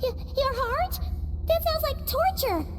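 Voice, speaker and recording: A woman voicing a small, excitable female sidekick in a game, shrill and squeaky. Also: takes it slowly, exaggerated voice. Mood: shocked. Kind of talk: game voice acting. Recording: long reverb, loud noise.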